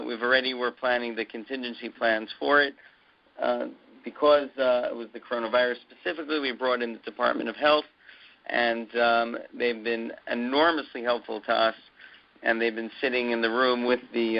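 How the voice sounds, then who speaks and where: A man giving an update on a conference call in a halting, hesitant way with many filler pauses, as a university president addressing his community. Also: mid-range voice, gravelly. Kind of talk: conference call update, semi-scripted announcement. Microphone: conference phone call. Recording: dull muffled conference call audio.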